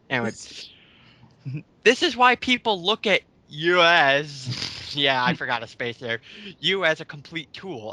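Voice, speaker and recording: A man's voice: fairly high pitch, bright, forceful tone. A man giving casual, mocking commentary on online comments in a sarcastic, blunt and sweary way.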